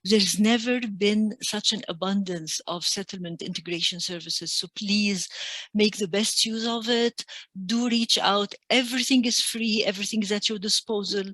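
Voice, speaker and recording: A woman's voice: mid-range voice, a bit bright, no echo. A woman from Egypt, giving earnest, emphatic advice as an interview guest on a video call. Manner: earnest, emphatic, slows to stress key points. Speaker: community organization staff member.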